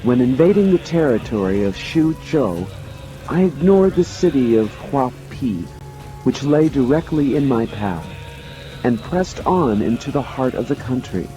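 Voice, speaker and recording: A man with a medium-pitched voice narrates a dramatized audiobook. His delivery is formal and expository, with music clearly playing behind him. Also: animated, a little slow, mellow, poor audio.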